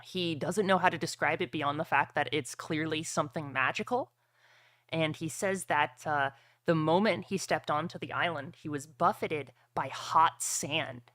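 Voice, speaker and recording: Bright-voiced man running a tabletop game. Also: a little high, no room sound. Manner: casual, crude-mouthed. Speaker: game master. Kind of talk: narrating a tabletop roleplaying game.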